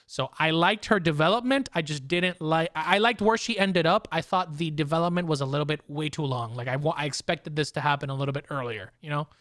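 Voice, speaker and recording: A man hosting a casual pop-culture discussion, talking haltingly and often repeating his words. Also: on the high side, fairly bright tone, dry recording, clean studio sound. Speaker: podcast host.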